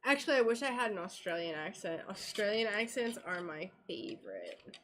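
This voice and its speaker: A woman's voice: medium pitch, expressive, moderate pace, a bit bright, slight background noise. An American woman streaming her craft work, chatting casually and giggling, with a slightly worn voice. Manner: chatty, giggly, rambling with fillers. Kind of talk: casual chat while working.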